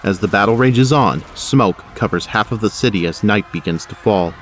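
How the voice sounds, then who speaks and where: A man's voice: on the low side, normal speed, a little hiss or hum. A man narrating an action audio drama from a script.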